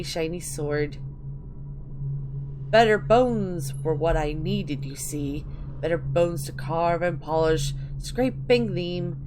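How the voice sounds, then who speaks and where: A woman voicing an eerie, wistful old granny witch who rambles slowly in a dramatic reading of video game lore. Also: on the low side, background noise. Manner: slow, wistful rambling with drawn-out endearments.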